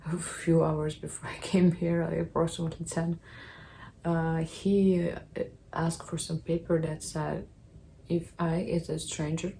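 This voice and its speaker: A young woman, chatting casually to camera in halting English, often restarting her sentences. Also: low-pitched, creaky, mellow, a little hiss or hum, rough recording. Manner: halting, apologetic non-native English with restarts. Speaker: vlogger. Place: apartment.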